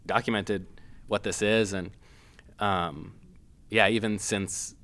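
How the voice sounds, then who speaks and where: A man from Massachusetts, a filmmaker guest, talking casually with many pauses, fillers and restarts. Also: on the low side, fairly even intonation, fairly bright tone. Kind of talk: casual interview.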